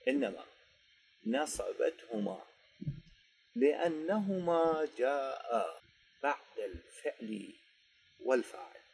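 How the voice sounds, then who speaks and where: A man with an Iraqi Arabic accent teaching a grammar lesson, dictating slowly and quizzing his students before answering his own questions. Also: a little high, creaky, darkish tone, slightly rough, poor audio.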